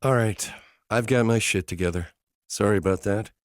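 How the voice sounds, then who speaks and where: A man voicing an emotional young woman in an audiobook, speaking in a higher, softer voice. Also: normal speed, no room sound, studio quality, close to an everyday voice. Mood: recovering. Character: emotional young woman, tearful and self-doubting. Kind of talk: audiobook character dialogue.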